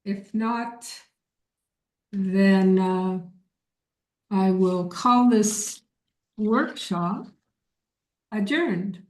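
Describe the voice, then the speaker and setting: A woman's voice: mid-range voice, very slow, pauses often, darkish tone. A woman leading an informal online workshop, answering questions in an encouraging, emphatic way. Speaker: workshop leader. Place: indoor room on a video call. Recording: echoey room.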